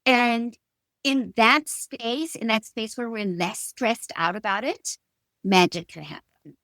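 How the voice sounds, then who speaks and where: A middle-aged woman with a South African accent, answering in an interview with emphatic, expressive storytelling and personal anecdotes. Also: a little high, slow, dry recording. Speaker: guest, stylist and designer.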